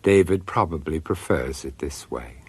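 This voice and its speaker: A man narrating a radio horror drama as an urbane storyteller, deliberate and theatrical, pausing to savour each phrase. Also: extremely low pitch, lively intonation, a little mellow, slightly rough, no room sound, exaggerated voice. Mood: wry, urbane.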